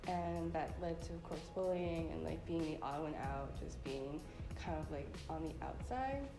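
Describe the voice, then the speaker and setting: A woman's voice: deep voice, normal speed. A young woman from the Boston suburbs, speaking reflectively about growing up. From suburbs of Boston, United States. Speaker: student panelist. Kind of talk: casual personal testimony. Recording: roomy room with background music.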